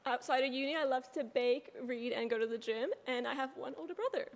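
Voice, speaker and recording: Young NZ woman, student presenter, high voice, friendly, long hall echo. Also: fairly quick. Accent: New Zealand. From New Zealand. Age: young adult. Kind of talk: presenting to an audience.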